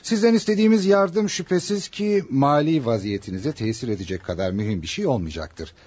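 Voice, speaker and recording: A man's voice: mid-range voice, expressive, a little fast, darkish tone, slightly rough. An actor playing a scheming husband in a radio play, formal and falsely courteous as he blackmails. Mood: oily politeness. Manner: formal, theatrical, mock-courteous. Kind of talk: Turkish radio theater acting.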